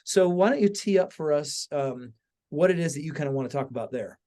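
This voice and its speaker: A man hosting a podcast, talking conversationally in an earnest, halting way with repeated phrases. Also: a little high, lively intonation, dark tone. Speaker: podcast host.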